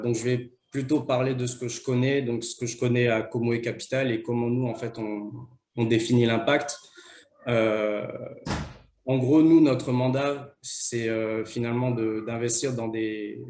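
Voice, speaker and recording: A man's voice: medium pitch, darkish tone. A man who works for an impact investment fund, speaking on a webinar panel in a hesitant way, with many fillers and restarts.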